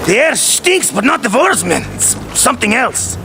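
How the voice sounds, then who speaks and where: A man's voice: very high-pitched, big pitch swings, normal speed, creaky, exaggerated voice. A man acting as a boisterous, roguish old sea captain in a video game, theatrical and hearty. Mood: wry. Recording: loud music behind the voice.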